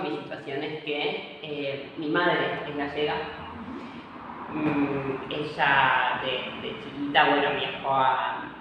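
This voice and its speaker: A woman, answering interview questions in a hesitant way, with many fillers and restarts. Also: sits low. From Argentina. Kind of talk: casual interview talk. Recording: echoey room, far from mic, faint noise.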